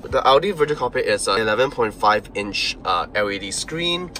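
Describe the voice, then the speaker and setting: A man's voice: lowish voice, expressive, normal speed, bright, forceful tone, a little hiss or hum. A young man casually walking viewers through a car's dashboard, recorded on a phone in a parked car, talking loosely with fillers and restarts. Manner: chatty, lots of fillers and restarts. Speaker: car reviewer. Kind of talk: casual car walkthrough vlog.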